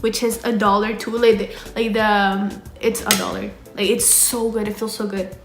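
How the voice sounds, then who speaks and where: A woman's voice: mid-range voice, flat delivery, normal speed, gravelly. Chatty young woman in an echoey room. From Dominican Republic. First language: Spanish. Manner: chatty, swears casually, trails off and restarts. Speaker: beauty vlogger. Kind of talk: casual makeup tutorial chat. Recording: echoey room with background music.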